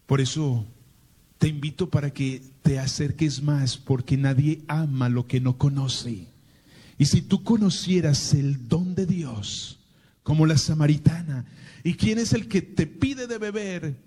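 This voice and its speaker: A Colombian priest with a paisa accent from Medellín, preaching a sermon through a slow, dramatic personal story full of rhetorical questions. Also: male voice, fairly high pitch, mellow, slightly rough.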